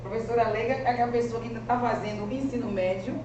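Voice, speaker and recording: A woman's voice: fairly high pitch, fast. Echoey rural Bahian woman teacher. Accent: rural Bahian Brazilian Portuguese. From rural Bahia, Brazil. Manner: earnest, conversational, with frequent restarts. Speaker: teacher and master's student. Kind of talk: research seminar presentation, spoken freely. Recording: echoey, distant, noisy room audio.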